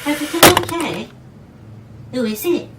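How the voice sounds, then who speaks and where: A woman acting in an audio drama as a sharp-tongued, sardonic character with a dry, pointed way of talking. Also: high voice, lively intonation, normal speed, crowd noise. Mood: worried, insistent.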